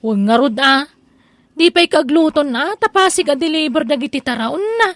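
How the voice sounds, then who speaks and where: High-voiced chiding Ilocano mother by an actress. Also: lively intonation, normal speed, a bit bright, no echo. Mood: complaining. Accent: Filipino, Ilocano. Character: humble, chiding village mother. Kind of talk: comedic radio drama acting.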